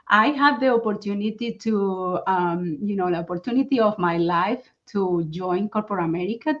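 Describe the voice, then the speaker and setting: A woman from Colombia with a Colombian Spanish accent, telling her story as an interview guest in an earnest way, with many fillers and restarts. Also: medium pitch. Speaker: guest, mindfulness coach. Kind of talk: personal story in a casual interview. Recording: dull lo-fi sound.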